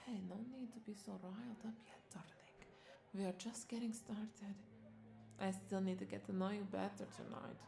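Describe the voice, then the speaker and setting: Flirty, creaky-voiced vampire bartender played by a woman. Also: mid-range voice, little pitch movement, moderate pace, warm tone, close to an everyday voice. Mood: flirty, teasing. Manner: flirtatious, teasing, breathy asides dropping into whispers. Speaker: audio roleplay voice performer. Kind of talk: intimate scripted audio roleplay.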